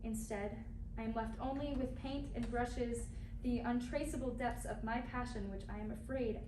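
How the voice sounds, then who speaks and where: A woman's voice: a little high, steady tone, moderate pace, natural voice. A woman playing a lovelorn 1920s painter, reading her love letters tenderly and earnestly in a staged reading. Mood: tender longing. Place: indoor performing arts academy, mic far off. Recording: distant, echoey, noisy live stage recording.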